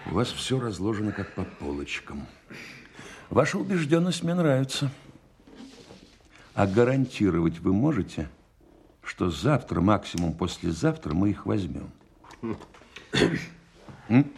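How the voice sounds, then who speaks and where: An actor in a radio play voicing a calm, probing senior general who speaks in a deliberate, official manner. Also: male voice, fairly low pitch, creaky, slight background noise, heavily acted. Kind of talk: Soviet-style radio play acting.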